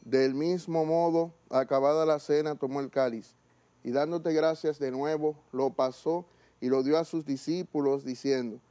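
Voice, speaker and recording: A man in his thirties, a priest, reciting the eucharistic prayer at mass in a measured, solemn way, in a small chapel studio. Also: fairly high pitch, fairly even intonation, warm tone.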